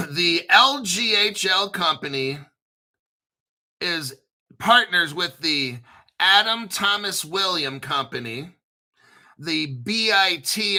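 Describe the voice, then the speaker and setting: A man's voice: high-pitched, sharp tone. A man hosting his own crypto channel, talking casually and conspiratorially, spelling out names letter by letter and swearing now and then. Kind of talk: casual solo commentary.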